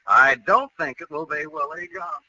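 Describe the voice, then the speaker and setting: A man's voice: a little high, normal speed, pushed and bright, recorded from a distance. An actor playing a suspicious, blustering middle-aged father in 1940s radio comedy. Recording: noisy, muffled old radio recording.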